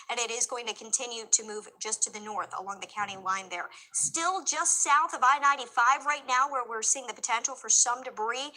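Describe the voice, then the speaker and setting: Bright-voiced female TV weather forecaster. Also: a little high, poor audio. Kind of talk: live severe weather commentary.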